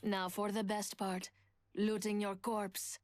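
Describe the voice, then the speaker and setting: An actress playing a poised, confident, seductive thief in a video game, with cool, teasing delivery. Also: female voice, medium pitch, level tone, slow pace, no echo, natural voice. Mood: teasing confidence. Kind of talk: video game character voice lines.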